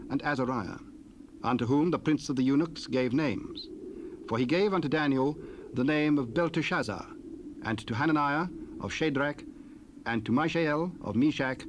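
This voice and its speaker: Man reading scripture on old cassette. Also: medium pitch. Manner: measured, solemn reading. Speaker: narrator. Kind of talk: scripted Bible narration. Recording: hissy old cassette tape.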